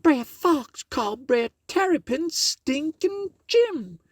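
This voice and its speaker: Man doing a high shrill lady, prim and fussy, storybook voice. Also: sing-song, takes it slowly, dry recording, clean studio sound, full character voice. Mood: scandalized.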